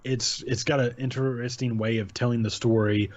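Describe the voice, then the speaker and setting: A man's voice: medium pitch, fairly even intonation, normal speed, muffled recording. A man hosting a casual chat about horror stories, rambling, repeating his words and laughing often. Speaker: channel host.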